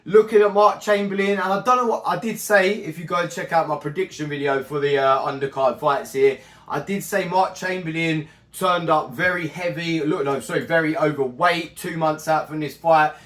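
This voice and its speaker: A man whose voice is husky and strained after a night of shouting, talking in a chatty, rambling way and often restarting his sentences. His pitch sits a little higher than usual, and the room around him sounds echoey. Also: fairly even intonation, moderate pace. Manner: chatty, rambling, self-correcting. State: hoarse, croaky strained voice. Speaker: boxing channel host. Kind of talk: casual fight recap monologue.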